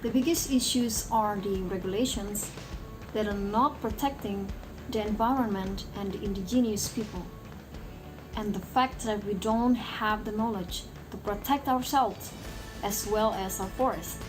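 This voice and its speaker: A woman's voice: mid-range voice, unhurried. A young woman from Indonesia, speaking earnestly about her home in a scripted testimonial. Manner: earnest, personal. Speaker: communications coordinator. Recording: music bed under the voice.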